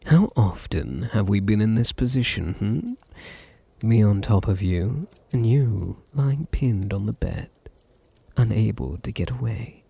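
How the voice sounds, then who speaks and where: A British man acting a seductive, domineering vampire master in an ASMR roleplay, breathy and often near a whisper, with purring, condescending endearments. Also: fairly low pitch, expressive, takes it slowly, dark tone, no echo. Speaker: audio roleplay voice actor.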